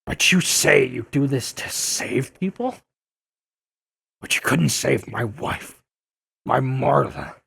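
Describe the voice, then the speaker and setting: Man playing a gravelly, embittered older newspaperman. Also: medium pitch, expressive, pushed and bright, no room sound, full character voice. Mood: grieving, bitter. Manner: bitter, halting, emphatic. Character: embittered, grieving older newspaperman, accusing and broken. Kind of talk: dramatic audio drama acting.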